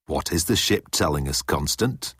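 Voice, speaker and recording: A man's voice: rumbling low, animated, normal speed, fairly bright tone, slightly rough, no room sound, studio quality, exaggerated voice. A man voicing a pompous, menacing alien commander who speaks with pedantic deliberation in an audiobook. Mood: commanding.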